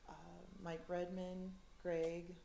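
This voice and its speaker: A woman from the United States, chatting casually in an interview, with many ums and lively storytelling. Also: lowish voice, takes it slowly, echoey room, a little hiss or hum. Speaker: interviewee, rider's partner.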